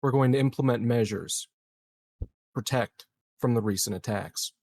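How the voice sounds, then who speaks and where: A man playing an earnest, resolute colony politician in a tabletop game, giving a slow, deliberate public speech. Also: medium pitch, a little mellow, dry recording, close to an everyday voice. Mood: solemn, resolute.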